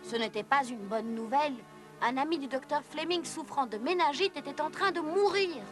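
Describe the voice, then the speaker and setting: A woman's voice: high voice, moderate pace, music in the background. A woman narrator delivering scripted educational cartoon narration in a storytelling manner.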